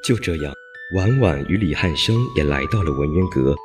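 A man's voice: rumbling low, expressive, normal speed, over music. A man narrating an audio drama as a scripted storyteller.

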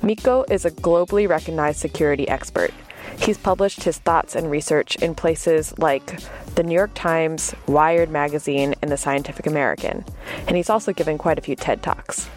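Woman podcast host, deep voice, clear scripted read, faint music under it. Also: normal speed. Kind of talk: podcast intro and interviewing.

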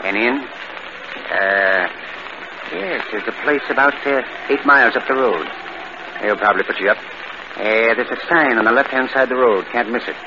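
An odd old country man played by a male actor, folksy and foreboding, with a halting, humming way of talking. The vintage radio recording is muffled and noisy. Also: mid-range voice, natural voice. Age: older. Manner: halting, folksy, full of hums. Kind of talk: 1940s radio acting.

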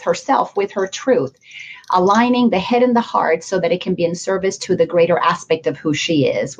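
A woman hosting a spiritual interview show over a video call, speaking slowly and earnestly with gentle enthusiasm. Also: on the low side, lo-fi sound. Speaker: host.

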